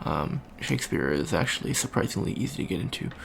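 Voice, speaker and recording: A man playing an awkward, bookish young alien college student in an ASMR roleplay, talking softly and hesitantly with many ums and stammers. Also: deep voice, very animated, quick pace, gravelly, a little hiss or hum, full character voice. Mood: bookish, rambling. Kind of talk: soft-spoken ASMR roleplay.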